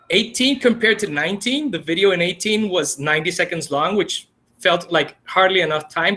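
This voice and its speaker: Guy on a webinar, bright voice, upbeat and emphatic. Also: sits high. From Colombia. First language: Spanish. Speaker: webinar panelist, technology integrator. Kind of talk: casual video call chat. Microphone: video call.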